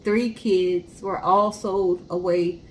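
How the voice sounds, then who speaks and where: A woman co-hosting in an expressive, chatty way, telling stories casually. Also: fairly low pitch, slow pace, slight background noise, poor audio. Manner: chatty, expressive, acts out imagined remarks. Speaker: co-host. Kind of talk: casual chat and informal storytelling.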